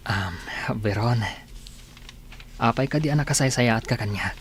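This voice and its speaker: A man's voice: medium pitch, moderate pace, a little hiss or hum, natural voice. A man playing a generous, coaxing, friendly villager with an Ilocano accent in a radio drama. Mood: kind, coaxing.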